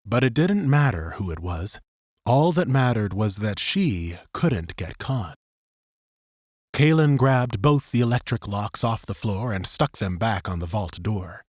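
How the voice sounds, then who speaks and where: A man's voice: on the low side, expressive, a little slow, darkish tone, no room sound, clean studio sound. A man narrating a scripted third-person story as an audiobook narrator.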